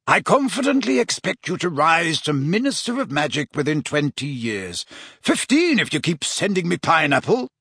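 A man's voice: on the high side, sing-song, moderate pace, a bit bright, husky, no echo, studio quality, voice pushed up, heavily acted. A man voicing a smug, indulgent middle-aged teacher with a pompous, teasing manner in a storybook. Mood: jovial, teasing.

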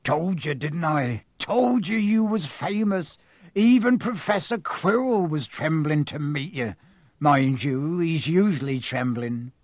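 A big, kindly, rough-spoken giant from a storybook, chatting in homely, plain-grammar speech, as a man puts him on. His voice rides high and lively, close and dry. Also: takes it slowly, no room sound. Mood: cheerful.

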